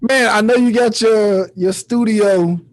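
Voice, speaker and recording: A man's voice: high voice, measured pace. A man hosting a podcast in casual chat, very informal and slangy, swearing freely. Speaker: podcast host.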